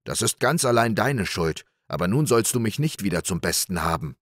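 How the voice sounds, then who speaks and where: Man as a hot-tempered big peasant, storybook, gruff, threatening, low, dry. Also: lively intonation, normal speed, dry recording. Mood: angry.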